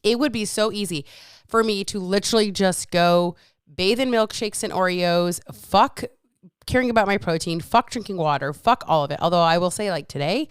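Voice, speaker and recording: A woman's voice: mid-range voice, normal speed, smooth, no echo, studio quality. A woman hosting her own podcast as a fitness coach, ranting bluntly with lots of swearing, a little congested with a lingering cough. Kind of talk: casual solo podcast rant.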